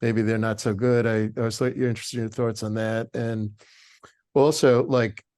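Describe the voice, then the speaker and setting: A man from the audience asking questions in a halting way, pausing and restarting often. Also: medium pitch, flat delivery, a little mellow. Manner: hesitant, full of pauses and restarts.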